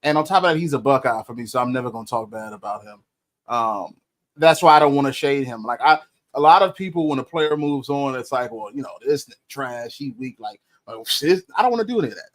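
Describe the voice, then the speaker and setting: A man's voice: medium pitch, lively intonation, fairly quick. A man co-hosting a podcast, talking sports casually, weighing his words with frequent ums and hedges. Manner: thoughtful, hedging, with frequent ums. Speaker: podcast co-host.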